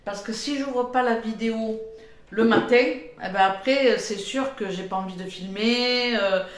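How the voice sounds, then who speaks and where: An older woman with a head cold, chatting casually in a cooking vlog, rambling with many pauses and fillers. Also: a little high, roomy sound. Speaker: cooking vlogger.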